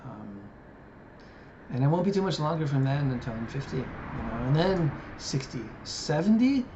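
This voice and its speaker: Man, late thirties, reflective vlogger, hesitant fillers, dull lo-fi sound with steady background noise. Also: fairly high pitch, normal speed. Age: 30s. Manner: musing, hesitant, many fillers. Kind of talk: reflective personal monologue. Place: living in Japan.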